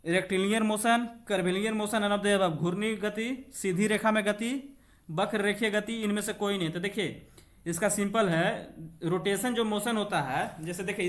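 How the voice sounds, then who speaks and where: A man's voice: high voice, a bit bright. A man teaching an exam class, working through practice questions, repeating key points and checking in with short confirmations, mixing in English terms. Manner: switches between Hindi and English when reading aloud.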